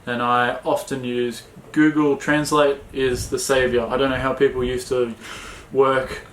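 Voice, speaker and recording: An Australian man co-hosting a sailing chat, talking in a relaxed, plain way with many fillers. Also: medium pitch, unhurried, roomy sound, slight background noise, low-quality recording. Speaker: sailing vlog co-host. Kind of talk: casual Q&A chat.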